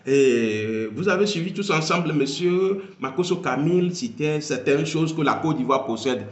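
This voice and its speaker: Man giving slow emphatic commentary. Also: fairly high pitch, lively intonation, echoey room. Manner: slow, emphatic, repeats key phrases. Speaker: commentator analysing a video. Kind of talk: political commentary.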